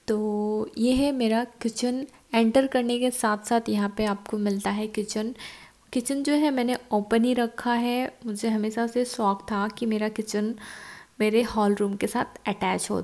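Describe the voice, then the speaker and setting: Chatty young woman with a gravelly edge. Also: on the high side, a little mellow. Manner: chatty, explaining as she walks through rooms. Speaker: vlogger. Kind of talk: casual home tour vlog. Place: empty house with bare rooms, indoors. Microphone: phone camera, at arm's length then handheld while walking.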